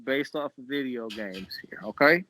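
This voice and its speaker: A man's voice: medium pitch, moderate pace, sharp tone, rough recording. A man hosting a podcast in casual chat about movies and games, speaking informally and often repeating his phrases as he goes. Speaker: podcast host.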